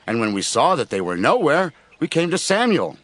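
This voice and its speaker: Earnest, lively, higher-voiced traveler played by a man. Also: normal speed, dry recording, full character voice. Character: earnest male traveler explaining himself to a relative. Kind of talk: dramatized scripture audio drama.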